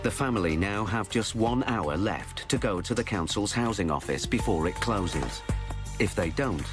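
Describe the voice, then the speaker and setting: A man narrating a documentary from a script in a measured, informative tone. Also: lowish voice, animated. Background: music.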